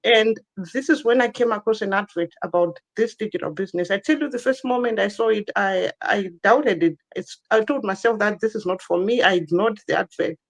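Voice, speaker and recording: A woman's voice: medium pitch, a little fast, hardly any pauses. A woman in her thirties from Zimbabwe, a guest telling her personal story in an online interview, earnest and given to repeating herself.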